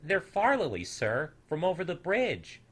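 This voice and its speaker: A timid little storybook boy creature, played by a man, pitched high and full of self-doubt. The delivery is plaintive, hesitant and innocent, with a slightly husky edge. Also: huge pitch swings, unhurried, a bit bright, exaggerated voice. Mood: shy.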